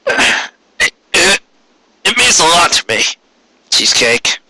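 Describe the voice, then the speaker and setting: A man voicing an aging, gruff but tender male pony in a storybook, halting and earnest, with a weakened rumble. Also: big pitch swings, bright, forceful tone, dry recording, full character voice, rough recording. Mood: tender, grateful.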